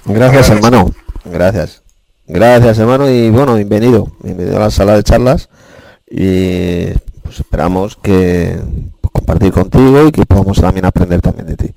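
A man's voice: deep voice, moderate pace, creaky, dry recording. A man, teaching Bible prophecy in an online chat room, speaking emphatically and asking rhetorical questions. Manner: emphatic, warns forcefully, asks rhetorical questions.

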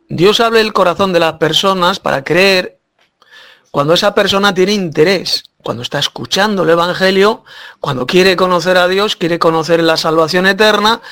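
An earnest man teaches a Bible lesson step by step. His voice sits high for a man. He explains carefully and with emphasis. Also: vocal fry, fairly bright tone. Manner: earnest, instructive.

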